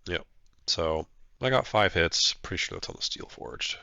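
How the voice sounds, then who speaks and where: Deep gravelly man with a stuffy nose. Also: animated, normal speed, pushed and bright, no room sound, muffled recording. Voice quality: nasal, stuffed-up. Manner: thinking aloud, hesitant with fillers. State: congested from a flu. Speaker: player narrating his own battle report. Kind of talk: casual play-by-play commentary.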